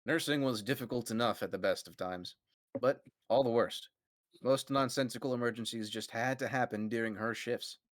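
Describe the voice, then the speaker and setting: A man narrates a story in a very dry, close recording, with an average-pitched voice. He reads as the narrator of a casual group reading and slips in amused asides to his friends. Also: moderate pace, dry recording.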